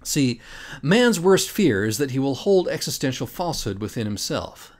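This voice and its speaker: Man, audiobook reader, theatrical, sardonic, emphatic, lively intonation, medium pitch. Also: normal speed. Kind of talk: dramatic first-person reading of a mock-philosophical rant.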